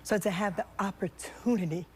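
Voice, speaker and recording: A woman's voice: medium pitch, moderate pace, darkish tone, a little hiss or hum. A woman giving an inspirational, conversational interview, emphatic and repeating phrases for effect. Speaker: interview guest, foundation founder.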